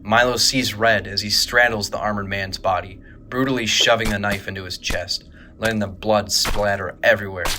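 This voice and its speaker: A man narrating a scripted horror audio drama in a measured, grave manner. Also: lowish voice, noisy background.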